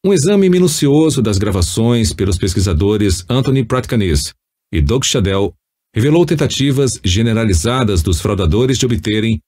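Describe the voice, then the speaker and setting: Brazilian male audiobook narrator, lowish husky voice, instructive measured delivery. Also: a little mellow. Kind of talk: scripted audiobook narration of nonfiction.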